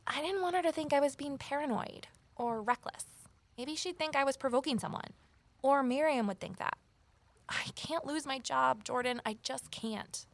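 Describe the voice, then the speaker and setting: An actress playing a wary, determined amateur sleuth in a naturalistic audio drama, conversational and gently wry. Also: female voice, sits high, normal speed, no room sound. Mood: guarded, anxious. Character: Evelyn, wary determined former journalist turned amateur sleuth. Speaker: lead character and first-person narrator.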